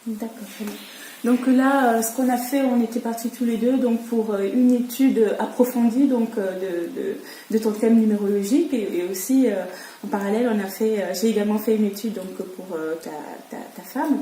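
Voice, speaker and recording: A woman working as a numerologist, interviewing a client in a calm, halting way with fillers. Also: a little high, reverberant, a little hiss or hum, poor audio. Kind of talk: casual interview chat.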